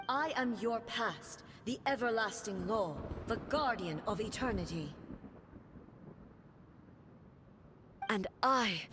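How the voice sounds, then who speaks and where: A woman's voice: a little high, a bit bright, a little hiss or hum. A woman voicing a stern immortal warrior goddess and ruler in a video game, speaking in a solemn, formal and commanding manner. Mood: cold, challenging. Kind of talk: dramatic video game character acting. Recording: game music behind voice.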